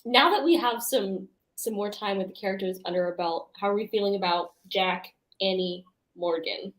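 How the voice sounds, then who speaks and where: A woman's voice: medium pitch, moderate pace, poor audio. A woman co-hosting a casual book chat on a livestream. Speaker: book club live show co-host.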